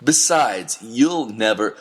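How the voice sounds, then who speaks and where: A man plays a contemptuous, bitter cynic in an audio drama. His voice sits fairly low. He lets each scornful phrase out very slowly. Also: expressive, breathy edge, full character voice.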